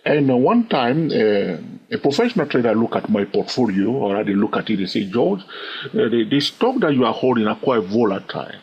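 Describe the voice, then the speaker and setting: Animated man teaching trading, husky voice. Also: moderate pace, muffled recording. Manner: repeats "all right?" to the viewer, asks questions; animated, sudden upward pitch jumps. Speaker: trading educator. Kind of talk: screen-share trading lesson.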